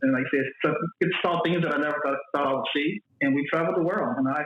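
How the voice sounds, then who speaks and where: A man in his forties from Mississippi chats loosely about his job, often doubling back on his words. His voice sits a touch high. The sound is thin and phone-like, with a roomy echo around it. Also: fast. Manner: repeats words, loose and rambling. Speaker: guest, flight attendant. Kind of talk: casual interview talk. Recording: echoey room, phone-like audio.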